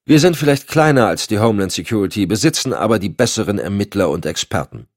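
A man's voice: fairly low pitch, expressive, quick pace, dry recording, studio quality, pitched above the performer's own voice. A man voicing a curt, sardonic, commanding agency chief with clipped formal speech in an audiobook. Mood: irritated, sardonic. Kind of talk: audiobook dialogue.

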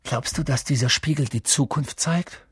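A man voicing a blunt young schoolboy in a storybook reading, a loyal best friend who grumbles and gets excited quickly. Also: mid-range voice, husky, no room sound, clean studio sound, pitched above the performer's own voice. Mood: amazed, excited.

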